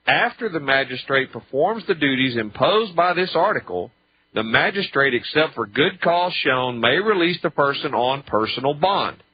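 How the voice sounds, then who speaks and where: A man's voice: fairly high pitch, lively intonation, moderate pace, a little hiss or hum. A man giving an explanatory legal talk on the radio, reading statutes aloud and commenting with pointed rhetorical questions. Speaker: radio show speaker on law. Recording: dull lo-fi radio audio.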